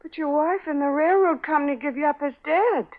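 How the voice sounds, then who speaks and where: High-voiced, anxious woman played by an actress. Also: a little fast, lightly acted. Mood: concerned, pitying. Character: anxious, pleading woman companion of a fugitive. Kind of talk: 1940s radio acting. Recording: muffled 1940s radio recording.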